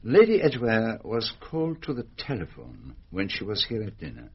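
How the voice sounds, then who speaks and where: An actor playing a courteous, probing Belgian private detective with a French-speaking Belgian accent, polite and precise in a radio drama. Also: male voice, lowish voice, huge pitch swings, breathy edge, heavily acted. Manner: polite, precise, measured questioning. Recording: dull, lo-fi radio drama recording.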